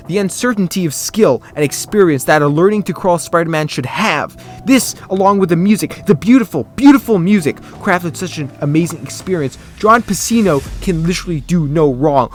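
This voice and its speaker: Young male reviewer, congested and stuffy, eager opinions, slightly high voice, soft music bed. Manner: enthusiastic, opinionated. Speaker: game reviewer. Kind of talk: scripted video review narration.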